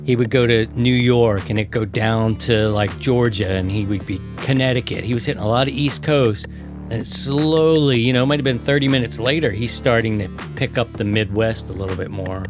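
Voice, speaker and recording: A man's voice: mid-range voice, fairly even intonation, normal speed, music in the background. An American man hosting a casual radio hobby talk show, telling stories in a slangy way with playful mock insults. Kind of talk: casual chat.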